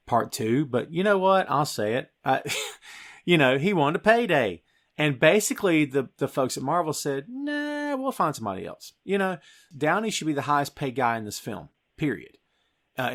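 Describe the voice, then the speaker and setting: A man's voice: medium pitch, a bit bright. Middle-aged American man, emphatic podcast host. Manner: emphatic, conversational, addresses listener as "dude". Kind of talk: casual film discussion.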